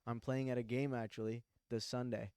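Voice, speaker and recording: A man in his twenties from Pakistan, chatting on a podcast in an animated, emphatic way with slangy asides. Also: medium pitch, fairly quick, smooth, no echo, studio quality. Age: 20s. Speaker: podcast co-host. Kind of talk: casual chat.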